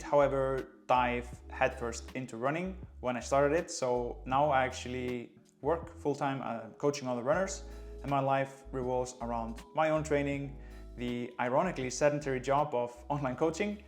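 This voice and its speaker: A man in his late twenties from Iceland, a running coach speaking English with an Icelandic accent in a relaxed, conversational vlog. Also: mid-range voice, moderate pace, faint music behind. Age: late 20s. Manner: relaxed, conversational, light laughs. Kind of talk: casual vlog talk to camera.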